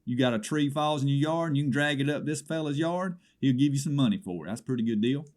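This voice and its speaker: A man's voice: mid-range voice, fast, a little mellow, lightly acted. A man playing an indignant small-town caller with a Southern American accent, rambling through a comedy swap shop report with exasperated asides. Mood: folksy, wary.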